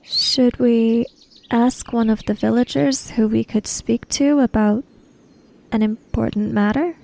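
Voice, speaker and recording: A woman's voice: fairly high pitch, steady tone, unhurried, creaky, a little mellow, slight background noise. A woman playing a tabletop roleplaying game, speaking and describing her character's part.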